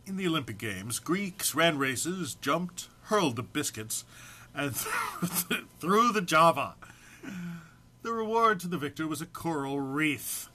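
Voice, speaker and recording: A man's voice: fairly high pitch, animated, takes it slowly, pushed and bright. A man giving a theatrical, amused dramatic reading of a humorous written text, with emphatic phrasing. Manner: amused, prone to breaking into laughter. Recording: faint background noise.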